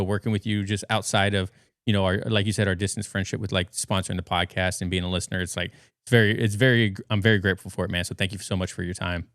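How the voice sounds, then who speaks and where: Low, clear-voiced American man. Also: a bit bright, no room sound, studio quality. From western United States. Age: 30s. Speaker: podcast guest. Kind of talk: casual conversational storytelling. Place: bedroom at home.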